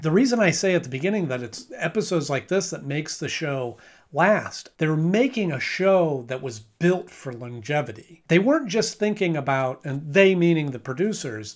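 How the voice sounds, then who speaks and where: A man's voice: fairly high pitch, lively intonation, moderate pace. A man talking casually about a cartoon episode for his channel, with a slightly raised voice. He rambles, circles back, and corrects himself mid-sentence. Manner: rambling, self-correcting, frequent restarts and filler. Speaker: episode reviewer. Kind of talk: casual video review commentary.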